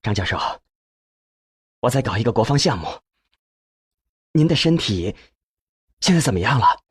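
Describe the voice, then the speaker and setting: A man's voice: mid-range voice, sing-song, normal speed, husky, no room sound, clean studio sound. A man voicing an earnest, respectful researcher in an audiobook, speaking politely and with urgency as he probes for answers. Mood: earnest, urgent.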